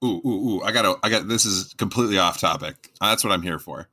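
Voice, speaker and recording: A man hosting a casual podcast over an internet call, energetic and quick to jump to new topics. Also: low-pitched, breathy edge. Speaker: podcast host. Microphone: remote internet call.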